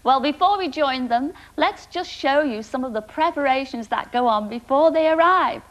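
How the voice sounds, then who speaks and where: A woman's voice: high-pitched, expressive, moderate pace, poor audio. A woman hosting a TV dating show, chatty and given to laughing as she presents.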